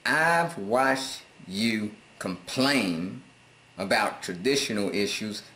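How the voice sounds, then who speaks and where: A man's voice: lowish voice, lively intonation, slow pace, pushed and bright. A middle-aged American man reading viewer comments aloud and answering them in a laid-back, amused and sarcastic way. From United States. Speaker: vlogger.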